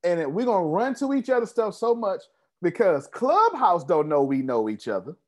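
A man leads an online group call as a business coach, talking himself up and calling on people by name. His pitch sits a bit high and swings widely as he pushes his points. He speaks into a computer mic on a video call. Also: very animated, moderate pace. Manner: boastful, preachy, calls on attendees by name. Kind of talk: animated motivational group call.

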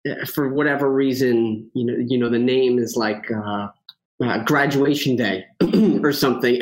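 A man's voice: medium pitch, rough recording. A man speaking as a podcast guest in a casual chat, rambling with many fillers and joking at himself. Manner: rambling, lots of fillers and restarts, self-joking.

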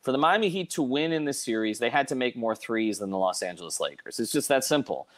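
A man hosting a casual sports discussion, thinking aloud with halting, repeated words as he puts questions to a panel. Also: medium pitch, expressive. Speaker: host of a sports podcast panel.

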